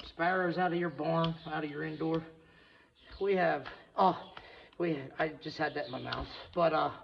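Gravelly-voiced man chatting over noisy barn work. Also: fairly high pitch. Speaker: farrier vlogger. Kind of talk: casual running commentary while working. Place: indoors in a horse barn. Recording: muffled, noisy barn with tool clicks.

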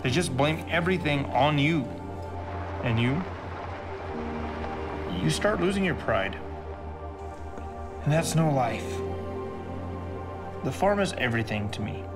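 A man's voice: a little high, expressive, takes it slowly, pauses often. An American man giving an intimate, earnest, plain-spoken film narration, as a farmer speaking to his child. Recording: film voice-over under loud music. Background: loud music.

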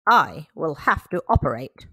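A woman reading the lines of a brisk, no-nonsense male dog doctor in a picture book, firm and explanatory. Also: low-pitched, big pitch swings, gravelly, a bit bright, husky, no room sound, clean studio sound, voice pushed down, full character voice. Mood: lecturing.

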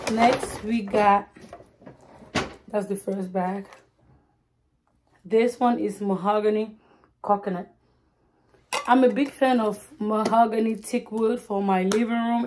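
A young woman vlogging casually into a handheld phone camera, chatty and animated, filmed in a car at night and later at home. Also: mid-range voice. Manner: chatty and animated, lots of "like" and "you guys". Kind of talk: casual vlog chat. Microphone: phone camera held close. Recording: noisy phone recording with car noise.

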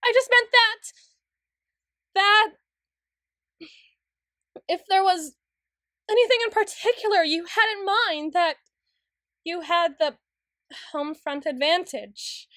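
A studious young tsundere woman , acted out by a woman, speaks in a high voice at an unhurried pace. She is prim and wordy, halting and stammering as her composure slips. It is a close, dry ASMR roleplay performance. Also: a bit bright, dry recording, full character voice. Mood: flustered.

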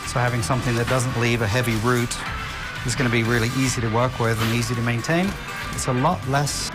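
Man, 40s, mid-pitched, casual hair stylist explaining to a client, loud music behind. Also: steady tone, fairly quick. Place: indoor hair salon.

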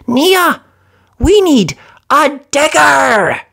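A storybook narrator voicing an excitable, cheeky young male steam engine. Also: sits high, drawn-out words, creaky, pitched above the performer's own voice, heavily acted. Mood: urgent.

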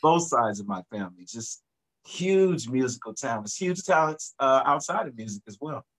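A middle-aged man, a singer guest, talking in a relaxed, reflective way about his background on a video call from his home studio. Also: medium pitch, normal speed. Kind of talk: casual video-call interview.

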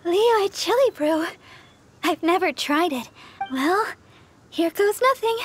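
A woman's voice: very high-pitched, animated, slow pace, a little hiss or hum, full character voice. A woman voicing a sweet, shy, eager young girl idol healer in an anime-style game. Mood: gentle, cheerful. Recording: background music.

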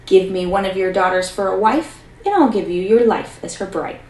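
A woman's voice: mid-range voice, moderate pace, darkish tone, natural voice. A woman reading as a demanding golden dragon. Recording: roomy, mic at a distance.

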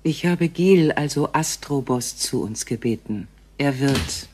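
Low, slow, enigmatic space woman. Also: expressive, a little mellow, slight background noise. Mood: composed. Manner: measured, formal. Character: calm, enigmatic female star visitor from space. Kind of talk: radio drama acting.

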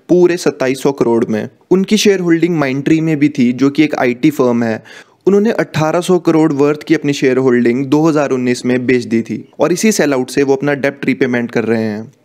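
A man's voice: medium pitch, darkish tone. This is a young man with an Indian accent working as a video presenter. He delivers scripted explainer narration in a middle-range male voice. He mixes two languages freely as he goes. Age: young adult. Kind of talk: scripted explainer narration mixing Hindi and English.

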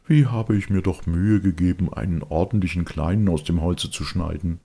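A man's voice: deep voice, normal speed, dark tone, husky, voice pushed up. Man doing an exasperated old father, raised voice. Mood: sorrowful. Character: gruff old woodcarver father, exasperated, scolding.

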